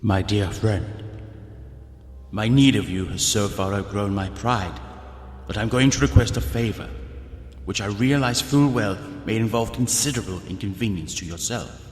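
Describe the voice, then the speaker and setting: An actor playing a gloomy, ailing gentleman reading a desperate letter in a formal, grave and deliberate way for a gothic radio drama. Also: lowish voice, faint music behind, close to an everyday voice. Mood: anguished pleading. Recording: echoey long reverb, background noise.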